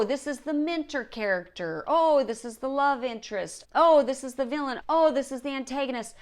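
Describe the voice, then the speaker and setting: A woman with a fairly high voice speaks as a coach giving a lesson. She explains things emphatically, step by step. She often poses a question and then answers it herself. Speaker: writing coach and show host. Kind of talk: instructional talk to camera.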